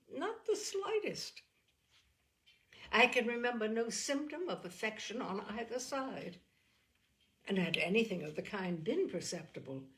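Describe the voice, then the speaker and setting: A woman voicing an earnest, indignant young Regency gentlewoman in a novel reading, speaking formally and emphatically. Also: mid-range voice. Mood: reflective, candid.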